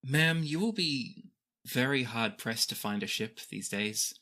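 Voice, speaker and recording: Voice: mid-range voice, animated, measured pace, sharp tone, exaggerated voice. A game master voicing a formal, obliging escort in a tabletop game, polite and matter-of-fact. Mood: courteous.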